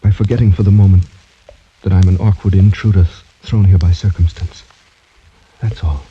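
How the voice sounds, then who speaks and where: An actor playing a proud, doomed young French gentleman in formal, courtly, brooding old-time radio drama style. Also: low voice, fairly even intonation, moderate pace, breathy edge. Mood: sorrowful, resigned. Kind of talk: 1940s-50s American radio drama acting. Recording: dull, muffled old radio broadcast recording.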